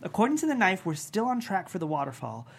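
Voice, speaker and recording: A woman playing an excitable, nervous young boy adventurer at a script table read, with a husky voice. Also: medium pitch, quick pace, slight background noise. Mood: flustered.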